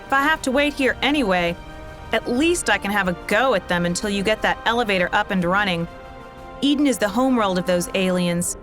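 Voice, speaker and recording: An actress playing a tough, vengeful soldier in a video game, with a terse, commanding, sardonic manner. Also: female voice, medium pitch, animated, fairly quick, lightly acted. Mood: determined. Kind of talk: video game cutscene acting. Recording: loud music behind the voice. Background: music.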